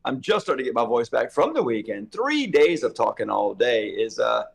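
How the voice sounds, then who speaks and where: Hoarse guy rambling on in a casual chat, regular pitch. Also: very animated, moderate pace. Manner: rambling, thinks aloud. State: slightly hoarse. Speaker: co-host.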